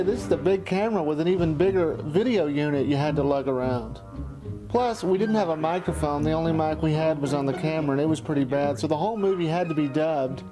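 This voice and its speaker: A man with a slightly high voice narrates a documentary-style look back at his own amateur productions. He talks conversationally, like someone telling stories. Music plays clearly behind him. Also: rough recording. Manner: conversational storytelling. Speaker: amateur filmmaker narrating a retrospective.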